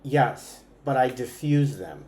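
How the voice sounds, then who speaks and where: A man's voice: mid-range voice, lively intonation, takes it slowly, dark tone. A man chatting casually on a livestream as host of a couples game, bantering and swearing in a teasing way. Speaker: streamer hosting a couples trivia game with partner. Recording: roomy echo with clear background noise.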